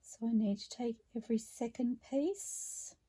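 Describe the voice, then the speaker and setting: A woman with a slightly croaky voice, chatting her way through a craft project step by step in an unhurried, friendly tone. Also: on the high side, warm tone. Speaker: craft vlogger. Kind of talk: relaxed craft narration while working.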